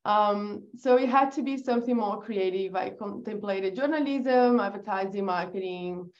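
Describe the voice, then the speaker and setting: A woman from Brazil with a Brazilian accent, a guest telling her story in a relaxed podcast interview, laughing easily and restarting her sentences. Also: medium pitch, moderate pace, highs cut off. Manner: chatty, laughs often, restarts. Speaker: guest, agency founder.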